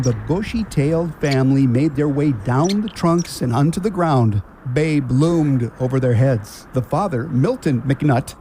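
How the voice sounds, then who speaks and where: A man's voice: a little high, warm tone. A man narrating a scripted children's story as the narrator of a radio drama. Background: guitar music.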